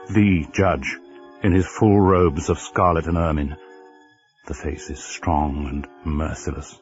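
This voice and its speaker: An actor as the grave, deliberate narrator of a radio ghost story, telling it with suspense. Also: male voice, sits low. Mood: ominous. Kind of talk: scripted dramatic narration of a horror story. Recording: dull lo-fi old radio recording.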